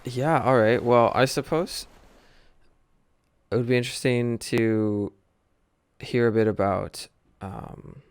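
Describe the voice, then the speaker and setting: A man's voice: medium pitch, steady tone, slow pace, long pauses, clean tone, no room sound. A man hosting a casual podcast interview, easygoing and hesitant, often restarting his sentences. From United States. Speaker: podcast host.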